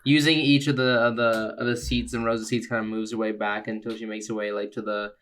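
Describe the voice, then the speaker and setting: A man playing a tabletop game, narrating his character's movements in a halting, conversational, improvised style. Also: lowish voice, flat delivery, a bit bright.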